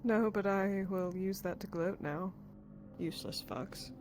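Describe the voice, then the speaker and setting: A woman's voice: mid-range voice, unhurried, close to an everyday voice. Woman playing a sardonic fantasy hired muscle over music. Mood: smug. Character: wry, foul-mouthed female muscle-for-hire, wary and smug. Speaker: roleplayer. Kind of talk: live improvised fantasy roleplay in virtual reality. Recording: clear background music behind the voice.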